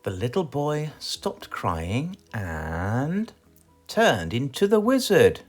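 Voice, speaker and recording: A man with a British accent reading a children's storybook aloud in a theatrical, expressive way. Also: medium pitch, slow, soft background music. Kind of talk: animated children's storybook narration.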